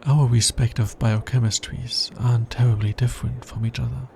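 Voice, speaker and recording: A man playing an anxious, logical alien scientist in a soft, intimate ASMR roleplay, speaking in a hesitant, formal way. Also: mid-range voice, flat delivery, normal speed, creaky, a little hiss or hum, close to an everyday voice. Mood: hesitant, uneasy.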